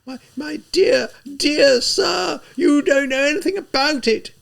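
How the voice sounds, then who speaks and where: A man voicing a proud, hysterical widowed gentlewoman in a high, loud, strained voice. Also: expressive, moderate pace, gravelly. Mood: shrill outrage. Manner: shrill, haughty and hysterical.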